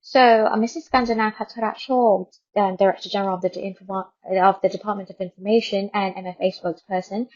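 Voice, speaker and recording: A woman from Thailand presents a radio update in a casual, conversational style. Her voice sits at a middling pitch, and she rambles a little, repeating words to stress them. The audio is somewhat dull and lo-fi. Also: fairly even intonation, fast, few pauses, a little mellow. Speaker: radio host.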